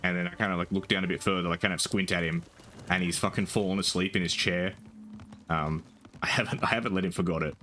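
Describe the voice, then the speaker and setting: A young man with a soft, soothing voice, chatting casually while streaming a game, rambling with lots of ums and casual swearing. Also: sits low, steady tone, fast talker, slight background noise. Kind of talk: casual gaming stream chat.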